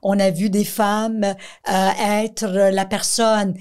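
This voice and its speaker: Middle aged quebec french lady, interview guest explaining stuff with examples, normal pitch. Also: little pitch movement, slow pace, darkish tone, smooth. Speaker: guest, director of a missing-children organisation.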